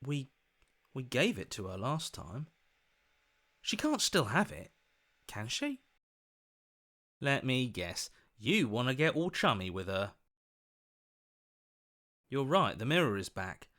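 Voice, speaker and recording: A man voicing an earnest, hopeful young hero in a video game, expressive and quick to protest. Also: mid-range voice, full character voice. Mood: wary.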